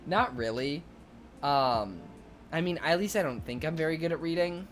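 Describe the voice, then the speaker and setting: A young man streaming, chatting casually and excitably with viewers, rambling with lots of fillers. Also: a little high, normal speed. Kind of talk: casual chat reading viewer comments.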